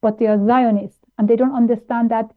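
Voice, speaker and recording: A woman from Iran, raised in Austria, speaking as an interview guest in a halting way with many fillers and restarts. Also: a little high, steady tone. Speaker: guest, plant-based nutritionist. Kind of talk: interview conversation. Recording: tinny phone-like line.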